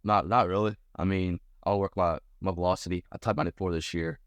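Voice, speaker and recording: A man's voice: sits low, fairly even intonation, fast talker, smooth, no room sound. An American man of about twenty giving casual, slangy interview answers as a college baseball player. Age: around 20. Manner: informal, slangy.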